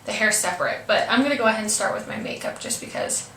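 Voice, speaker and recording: A woman's voice: medium pitch, quick pace, a bit bright. A young woman with a stuffy, congested voice, chatting hesitantly through a makeup tutorial with lots of ums and apologies. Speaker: beauty vlogger. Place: room at home. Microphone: camera mic from a distance. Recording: roomy, distant camera audio with faint hiss.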